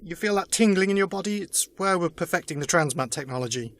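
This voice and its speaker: An actor playing an earnest resistance member in an audio drama, explaining things in a measured way. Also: male voice, sits high, animated, a bit bright. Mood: reassuring. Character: earnest male resistance member, former presidential assistant. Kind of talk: full-cast audio drama acting.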